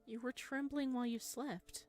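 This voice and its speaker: A woman's voice: high voice, steady tone, moderate pace, darkish tone, faint music behind, pitched above the performer's own voice, close to an everyday voice. A woman voicing a clingy, eerie male creature in a visual novel, pleading and hesitant as he tries to please. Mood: timid pleading.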